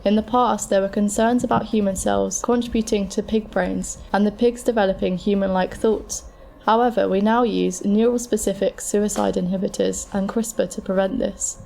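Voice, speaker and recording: A woman plays a calm medical scientist in an audio drama. Her voice is mellow and mid-pitched with plenty of vocal fry. She explains things in a measured, matter-of-fact way. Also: slight background noise, lightly acted. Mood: explaining. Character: frank, calm futuristic transplant scientist advising a patient. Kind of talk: scripted explanatory dialogue in an amateur audio drama.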